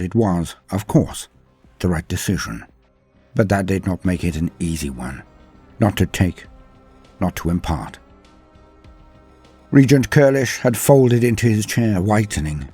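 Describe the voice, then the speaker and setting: A man narrating a dramatic science fiction story in a measured, theatrical way. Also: lowish voice, sing-song, mellow, slightly rough, faint music behind.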